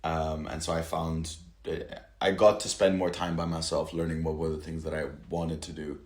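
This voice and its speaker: A man from Italy with a very deep voice and an even, level delivery talks casually about stunt work. He hesitates often and restarts his sentences. The sound is echoey, as if recorded in a bare room at home. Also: moderate pace. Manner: hesitant, lots of fillers and restarts. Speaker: stunt performer, podcast guest. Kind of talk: casual interview chat.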